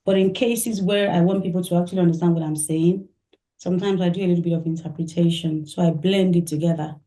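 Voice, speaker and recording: Soft, low Nigerian-born woman musician. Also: steady tone, quick pace. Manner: soft-spoken, thoughtful, laughs often. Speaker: musician guest. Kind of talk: interview answers. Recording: echoey room.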